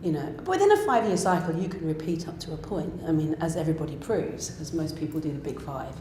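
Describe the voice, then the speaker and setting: A middle-aged British woman with a fairly low voice thinks aloud about her work, restarting often and asking herself questions. The recording is echoey, with faint noise behind her. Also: lively intonation, fast. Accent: British English. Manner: reflective, with restarts and self-questioning. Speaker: panto writer and director. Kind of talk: interview chat.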